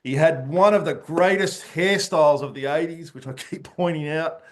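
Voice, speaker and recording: A man's voice: on the high side, moderate pace. A man hosting an interview podcast, opening with a read introduction and then asking friendly, keen questions. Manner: enthusiastic, with a scripted introduction. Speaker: podcast host.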